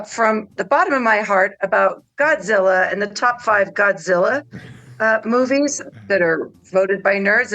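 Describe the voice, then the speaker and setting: A woman's voice: mid-range voice, fairly bright tone, poor audio. A woman guest chatting casually and enthusiastically, like a keen fan. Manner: enthusiastic, nerdy fan talk. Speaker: pop culture news guest. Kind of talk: casual chat.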